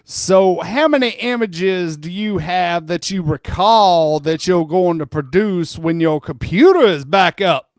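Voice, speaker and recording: A man's voice: sits high, animated, a little slow, few pauses, dry recording, studio quality. A man playing a persistent, polite lawyer questioning a witness in a comic deposition reading, methodical and placating, repeating himself as he presses. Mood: pressing.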